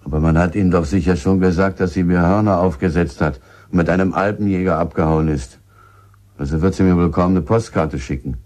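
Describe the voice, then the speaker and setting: An actor in a German radio drama playing a bitter, crude village husband under suspicion, husky and defensive in his halting way of talking. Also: low voice, fairly even intonation, darkish tone, natural voice. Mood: bitter, dismissive.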